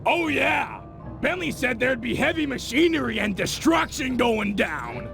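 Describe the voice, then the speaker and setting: A man voicing a big, simple, childlike hippo in a video game, bombastic and excitable, with drawn-out exclamations. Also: very high voice, gravelly, full character voice. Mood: excited. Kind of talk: animated video game acting. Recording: music behind the voice.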